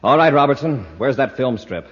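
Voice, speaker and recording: An actor playing a brisk space patrol commander, giving clipped, authoritative orders in 1950s radio serial style. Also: male voice, medium pitch, lively intonation, husky, no room sound. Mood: firm, controlled. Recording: muffled, very noisy old radio recording.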